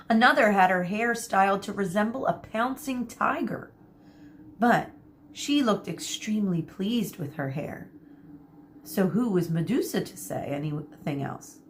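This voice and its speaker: A woman teacher reading a children's book aloud, pausing to explain words and giving the characters lively voices. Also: lowish voice, slight background noise. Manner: explains words for kids mid-story.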